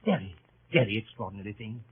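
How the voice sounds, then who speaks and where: An actor playing a fussy, earnest gentleman with a formal, hesitant manner, in old radio drama style. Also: lowish voice, lively intonation, fast talker, slightly rough. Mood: bewildered. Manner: formal, hesitant, stammering indignation. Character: fussy, earnest, easily flustered English gentleman club leader. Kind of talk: 1930s radio acting. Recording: muffled, scratchy 1930s radio broadcast.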